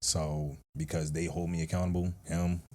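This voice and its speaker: Man, podcast co-host, very deep voice, casual and candid. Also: level tone, moderate pace, a little mellow. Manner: earnest, candid, slangy, with a deep stern register.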